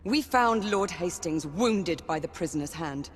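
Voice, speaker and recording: A woman's voice: lowish voice, lively intonation, measured pace. A woman playing a sober knight in a video game, giving testimony in a careful, formal manner. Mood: restrained, grave. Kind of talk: period drama game acting. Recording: reverberant hall with background ambience noise.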